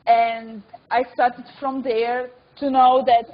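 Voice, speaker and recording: A woman's voice: fairly high pitch, little pitch movement, slow pace. Earnest young woman on a muffled call. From Lebanon. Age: young adult. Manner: earnest, simple direct sentences, repeats phrases for emphasis. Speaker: invited speaker giving testimony. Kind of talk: personal testimony on a webinar.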